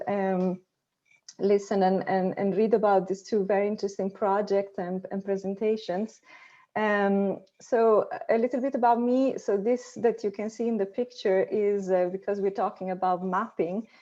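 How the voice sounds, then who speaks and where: A woman's voice: medium pitch, mellow, highs cut off. A woman from Italy with an Italian accent, responding at an online conference in a chatty way, full of fillers and restarts. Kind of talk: casual academic talk over video call. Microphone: video call.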